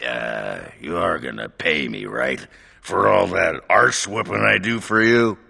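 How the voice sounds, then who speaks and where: Boorish drunken dwarf warrior for a fantasy game: very gravelly, low, rowdy and slurred. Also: male voice, a little slow, pushed and bright, exaggerated voice. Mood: rowdy, amused. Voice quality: gravelly, raspy. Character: crude, boisterous, drunken male dwarf warrior. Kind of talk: video game voice acting.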